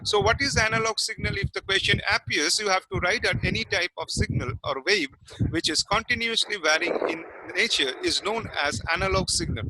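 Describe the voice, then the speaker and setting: Male teacher. Fairly high voice, heard over a noisy video call. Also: a little fast. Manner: repeats questions and answers them himself. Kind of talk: online class lecture. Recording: noisy online class audio.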